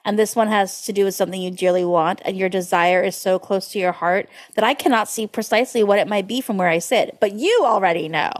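A woman's voice: medium pitch, fast talker, hardly any pauses. A woman co-hosting a casual podcast, talking in a playful, colloquial, wry way. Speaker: podcast co-host. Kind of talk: casual chat about horoscopes.

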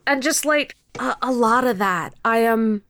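A young woman talking casually as an interview guest, in an animated, halting, sweary and informal manner. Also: fairly high pitch, no room sound, studio quality. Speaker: podcast creator, interview guest.

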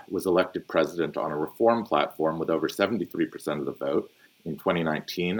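A man with a very low, deep voice hosts a podcast panel. He reads his introductions in a measured, formal way. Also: breathy edge, low-quality recording. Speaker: podcast host, newsletter editor.

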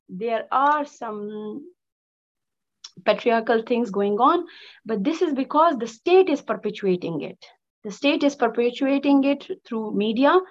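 Emphatic woman, muffled sound. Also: on the high side. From Pakistan. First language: Pashto. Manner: emphatic, with frequent restarts and filler sounds. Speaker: guest, journalist and activist. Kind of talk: passionate interview answers.

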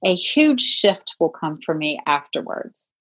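A woman's voice: sits low, sing-song, measured pace, a bit bright, husky, poor audio. A woman in her fifties talking as a guest in a casual interview, chatty and reflective, with many fillers. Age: 50s. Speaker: podcast guest, boutique owner.

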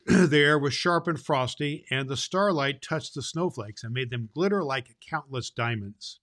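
A man hosting and reading a Christmas storybook aloud to children in an expressive way. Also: mid-range voice, measured pace. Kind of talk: storybook read aloud with informal host talk.

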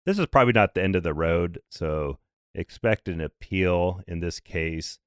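A man in his thirties or forties hosting a podcast, slightly hoarse and raspy, talking briskly and conversationally with a light dry humor. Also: low-pitched, lively intonation, no echo. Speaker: podcast host. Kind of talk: scripted podcast explainer.

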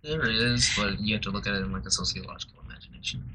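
A man's voice: on the low side, rapid-fire, noisy background. A young man in a group discussion who hedges and fills his speech with "like". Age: young adult. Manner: hedging, fills with "like". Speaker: roundtable participant.

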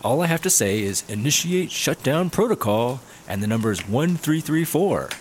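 A cocky, laid-back slacker cop played by a man talks with a lively, swinging delivery, breezy and ready to argue. The audio drama has faint noise behind him. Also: medium pitch, normal speed, lightly acted. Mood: cocky, casual. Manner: breezy, argumentative. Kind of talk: sci-fi comedy audio drama acting.

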